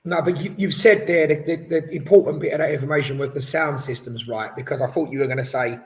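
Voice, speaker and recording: Male podcast co-host, slightly high voice, animated and rambling, casual studio chat. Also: fast talker. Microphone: headphones on, studio mic.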